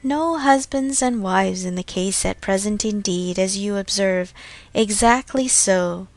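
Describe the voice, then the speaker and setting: An eager, self-conscious gentleman suitor from a classic novel, voiced by a woman narrator. He agrees in a fawning, deliberate way. The recording is dry and free of room sound. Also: medium pitch, dry recording, natural voice. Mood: eagerly agreeing.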